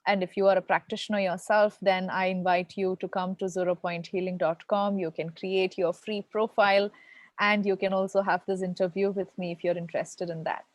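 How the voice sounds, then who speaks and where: A woman's voice: mid-range voice, flat delivery, a little fast, no room sound. A woman hosting a live online interview show, greeting viewers and her guest effusively. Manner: warm, effusive welcomes. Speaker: show host.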